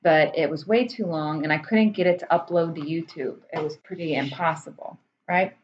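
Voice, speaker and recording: A woman's voice: sits low, normal speed. An American woman chatting informally to camera like a teacher presenting books, hesitating and thinking aloud as she explains. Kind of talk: casual explanatory chat to camera. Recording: dull muffled audio, background room noise.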